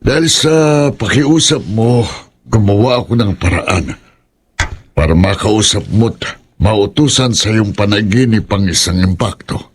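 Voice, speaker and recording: A man acting an earnest, principled older ritualist in a horror radio drama, speaking in a husky, pleading manner. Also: on the low side, animated, measured pace. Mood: reproachful.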